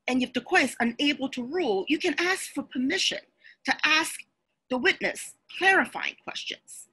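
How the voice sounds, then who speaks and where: Woman presenter, native Cantonese speaker, webcam lecture; bright, slightly breathy. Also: sits high, low-quality recording. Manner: explanatory, steady, instructive. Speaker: lawyer and presenter. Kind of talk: webinar lecture. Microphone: video call webcam.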